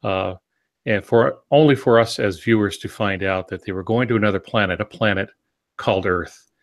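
A man in his sixties explaining things conversationally as a podcast co-host, in a measured, halting way with pauses mid-phrase. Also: lowish voice. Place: home office, indoors. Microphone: video call with earphones.

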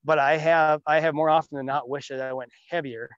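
A man's voice: a little high, steady tone, a little fast, no room sound, highs cut off. A man farmer being interviewed outdoors in a farm field, talking plainly with many restarts. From Minnesota. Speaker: farmer guest.

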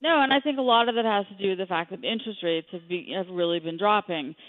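A woman's voice: mid-range voice, fast talker. A woman speaking as an expert guest in a radio interview, giving commentary on the economy. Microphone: telephone. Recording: narrow, tinny phone line.

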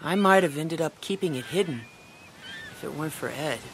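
A woman's voice: low voice, expressive, brisk, slight background noise. A woman playing an awkward, timid, good-natured teenage boy hero in a video game, hesitant and easily flustered. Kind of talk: video game cutscene acting.

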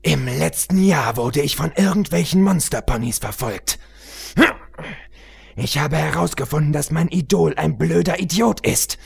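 Man voicing a brash young mare, raised pitch. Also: measured pace, gravelly, darkish tone, slightly rough, full character voice. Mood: bitter, angry. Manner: aggrieved, emphatic. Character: brash young female pony fighter, embittered, tough.

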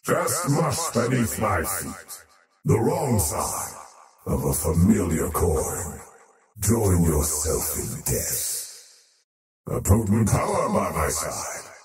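An actor voicing an arrogant, menacing faceless void creature in a video game, with pompous, ominous and theatrical delivery. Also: male voice, sits low, big pitch swings, takes it slowly, recorded from a distance, exaggerated voice. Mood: contemptuous. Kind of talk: theatrical game character voice lines. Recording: roomy reverb over background music.

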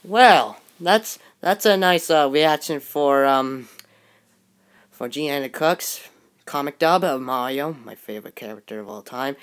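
A woman reacting to a video in excitable commentary, exclaiming and sometimes halting or stammering over words. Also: medium pitch. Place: bedroom, indoors.

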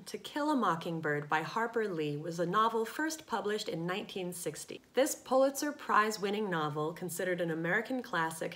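A woman's voice: medium pitch, fairly bright tone. A woman in her thirties presenting a scripted introduction in a formal reading style. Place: indoor room with bookshelves, seated before camera.

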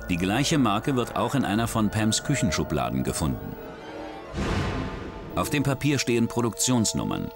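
A man reading the scripted narration of a true crime documentary in a dramatic, suspenseful way. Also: on the low side. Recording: voice-over with music bed.

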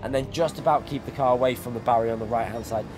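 A man's voice: medium pitch, fast talker, over music. A man with a cold who is losing his voice, explaining things step by step as host of a racing game tutorial. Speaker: sim racing YouTuber, host. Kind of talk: tutorial commentary over racing game footage.

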